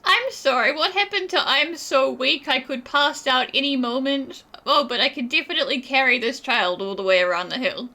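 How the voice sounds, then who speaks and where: A woman's voice: sits high, moderate pace, hardly any pauses, sharp tone. A woman giving casual gaming commentary in a sarcastic, teasing, slangy way.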